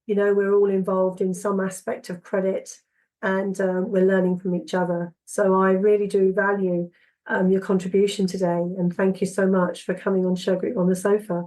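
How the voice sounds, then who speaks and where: A woman with a cold-roughened voice, hosting a casual podcast interview and pausing often with ums and restarts. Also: medium pitch, flat delivery, dark tone, rough recording. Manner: halting, many ums and restarts. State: hoarse from a cold. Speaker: podcast host.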